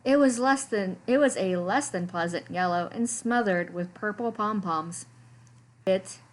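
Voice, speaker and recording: A woman reading a children's story aloud in an animated, expressive way, with dramatic emphasis on descriptive words. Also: medium pitch, measured pace, low-quality recording. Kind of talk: expressive picture-book read-aloud.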